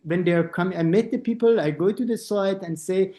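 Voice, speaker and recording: Halting male architect in a roomy space. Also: sits high, dark tone. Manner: halting non-native English, many restarts, acts out quoted dialogue. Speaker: architect and interview guest. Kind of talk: conversational interview. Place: lives in Austria.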